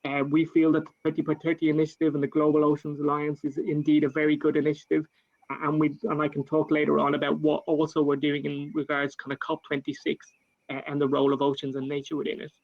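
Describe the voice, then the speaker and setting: An Irish man speaks on a panel in a fairly high, flat voice that barely rises or falls. He talks in a measured way, pausing on fillers and restarting phrases. The sound comes over a video call and is dull and lo-fi. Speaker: panel speaker for a national government. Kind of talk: panel discussion answer.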